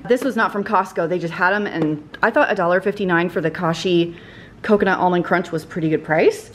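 A woman's voice: mid-range voice, a little hiss or hum. A woman in her thirties vlogging from her home kitchen, talking casually to a handheld camera as she walks through her groceries and prices. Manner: chatty, upbeat, walks through items and prices. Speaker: family vlogger. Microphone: handheld camera, held close.

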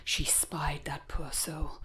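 Give me a low-voiced woman as dramatic narrator. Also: level tone, slow pace, bright, forceful tone, whispered. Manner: theatrical, suspenseful. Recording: prominent music behind the voice.

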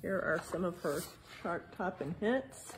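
Slow, low-voiced woman reading to children. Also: animated, a little hiss or hum. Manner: spells out page titles letter by letter, then explains gently to children. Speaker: museum storytime reader. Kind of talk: children's read-aloud with explanations. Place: indoor museum exhibit hall.

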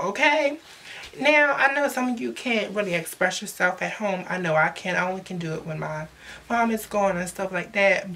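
A woman's voice: medium pitch, fairly bright tone. A young woman, giving slangy, chatty advice to her viewers in a casual vlog, recorded indoors close to the camera. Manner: chatty, sassy, slangy, addresses viewers as sis. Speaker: vlogger. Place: indoors in front of a glittery backdrop. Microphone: camera at close range.

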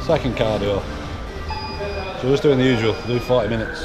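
A middle-aged man with a Northern English accent chatting casually and bluntly, with some swearing, as he vlogs on a handheld camera. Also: moderate pace. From northern England. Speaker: gym vlogger. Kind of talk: casual rambling vlog chat. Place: large indoor gym with metal roof. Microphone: handheld action camera at arm's length. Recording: loud electronic gym music behind, action camera. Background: loud drum and bass music.

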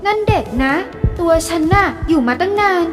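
Brief: woman voicing a bashful, clumsy teen girl, high and light. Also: normal speed, in a large hall, music in the background. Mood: flustered. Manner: flustered, self-blaming. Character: flustered clumsy teenage schoolgirl.

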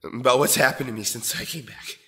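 A pained, frightened young hero played by a man in an audio drama. His very bright, tense voice is halting and emotional, ringing in a long reverb. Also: medium pitch, lightly acted. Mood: pained, confessing. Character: scared, pained young male captive hero. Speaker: voice actor.

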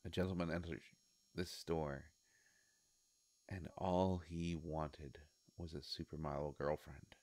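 Man playing a slow, deep, sly devilish shopkeeper. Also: flat delivery, darkish tone, no room sound. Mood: wry, coaxing. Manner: hesitant, coaxing, musing, with drawn-out pauses. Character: sly devilish male shopkeeper of a wish-granting store. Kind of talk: scripted roleplay audio monologue.

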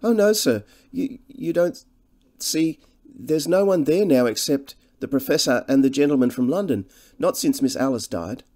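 A man's voice: on the high side, normal speed, few pauses, pitched above the performer's own voice, lightly acted. A man voicing a fretful, humble working-class English countrywoman, deferential and hesitant, as in an audiobook. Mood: fretful, preoccupied. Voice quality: monotonous.

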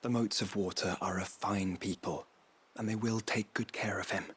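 An actor playing a composed, learned fantasy game companion, husky and breathy, speaking in measured, eloquent phrases. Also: male voice, fairly low pitch, steady tone, fairly bright tone. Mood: pensive. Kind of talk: dramatic video game acting.

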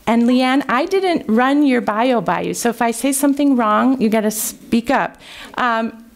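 Gravelly-voiced older woman hosting an event. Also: fairly high pitch, animated, unhurried. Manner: friendly, chatty, informal. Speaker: event host. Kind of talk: informal introductions at a community event. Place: library meeting room. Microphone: lectern microphone.

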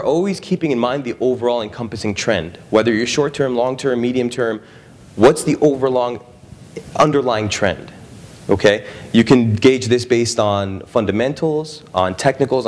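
A young man presenting a trading seminar to a live audience, conversational, often checking in with the room. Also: fairly low pitch. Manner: conversational, frequent "right?" and "okay?" check-ins with the audience. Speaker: trading strategist presenting at an expo.

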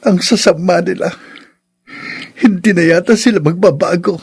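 A meek young son in a radio drama. The voice is high and rough-edged. He speaks hesitantly and deferentially, a long-suffering poor boy who pleads. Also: moderate pace, full character voice. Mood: sorrowful, resigned.

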